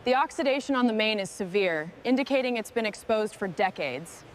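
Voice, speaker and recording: A woman's voice: medium pitch, lively intonation, a little fast, noisy background, close to an everyday voice. A woman playing the commanding boss of a machinery repair crew, giving businesslike instructions in a scripted workshop scene. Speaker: repair team leader.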